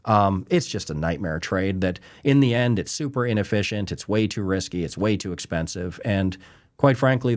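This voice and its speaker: A man from Canada hosting a trading show, talking casually and emphatically and often asking "right?" and "you know". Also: fairly low pitch. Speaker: trading show host answering viewer questions. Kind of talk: casual unscripted explainer.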